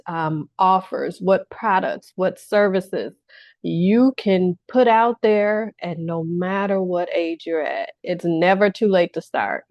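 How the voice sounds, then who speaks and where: Low-voiced encouraging woman coach, dull dry sound. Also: no echo. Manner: encouraging, repeats phrases for emphasis. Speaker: business coach. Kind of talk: workshop-style video talk to viewers.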